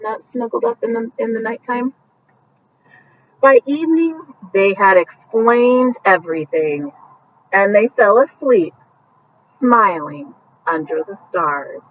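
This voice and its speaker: A woman reading a picture book aloud at bedtime, gently explaining the pictures with casual asides as if to young children. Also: on the high side, lively intonation, slow. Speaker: storybook reader. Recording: muffled, distant mic with faint background noise.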